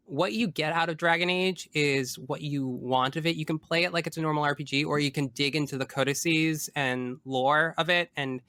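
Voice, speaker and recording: A man's voice: mid-range voice, bright, forceful tone, smooth, no echo, clean studio sound. A man talking casually as a podcast guest about video games, opinionated and emphatic, often wandering off into asides.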